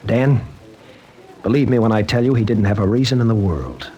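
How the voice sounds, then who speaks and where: An American actor playing a troubled college teacher with a halting, earnest way of talking, in 1940s-style radio drama. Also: male voice, on the low side, lively intonation, warm tone, muffled recording, close to an everyday voice. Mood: troubled, hesitant. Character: troubled, hesitant male college teacher confiding in a friend.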